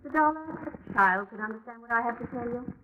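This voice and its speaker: An actress playing an efficient, self-assured English assistant, speaking in a businesslike British accent in old radio-drama style. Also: female voice, a little high, quick pace, natural voice. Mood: brisk, insistent. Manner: crisp, businesslike, insistent. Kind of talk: 1940s-50s radio drama acting. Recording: tinny, noisy vintage radio recording.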